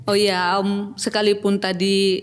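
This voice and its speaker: A woman's voice: medium pitch, level tone, a little slow, clear, resonant tone, long reverb tail, faint music behind. A middle-aged woman with a Batak-flavoured Indonesian accent, a podcast guest who explains things earnestly and keeps adding "gitu ya". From North Sumatra (Batak). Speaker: guest, church pastoral team member. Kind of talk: podcast conversation.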